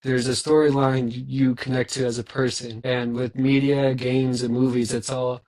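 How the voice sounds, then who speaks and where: A man's voice: medium pitch, flat delivery, moderate pace, a little mellow, rough recording. A young man chatting casually as a guest, earnest and prone to repeating himself. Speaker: podcast guest. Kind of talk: casual chat.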